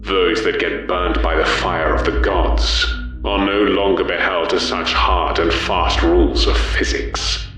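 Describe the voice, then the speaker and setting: A man playing a refined, measured, darkly courteous warrior leader whose rich voice comes through a helmet vox. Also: fairly even intonation, a bit bright. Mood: clinical regret. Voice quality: deep, rich. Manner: measured, clinical, polite. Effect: helmet vox filter. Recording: very echoey, distant, faint music.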